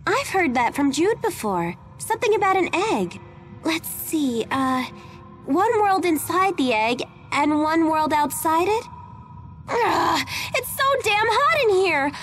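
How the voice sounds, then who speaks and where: A woman voicing an earnest, self-doubting teenage girl in a video game, expressive and plain-spoken. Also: very high voice, a little slow, full character voice. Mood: animated. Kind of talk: English video game voice acting. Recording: game music behind the voice.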